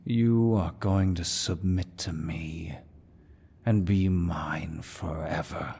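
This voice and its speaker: A man playing a menacing demon lord in an ASMR fantasy roleplay, with a deep, gravelly voice and a dominant, smug, taunting manner. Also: steady tone, dark tone, a little hiss or hum, exaggerated voice. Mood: possessive, coaxing. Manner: dominant, smug, slow and deliberate.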